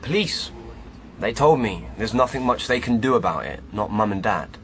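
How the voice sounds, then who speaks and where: An actor playing a sullen, defensive teenage waiter in a radio drama, blunt and guarded. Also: male voice, on the low side, expressive, moderate pace, fairly bright tone, a little hiss or hum.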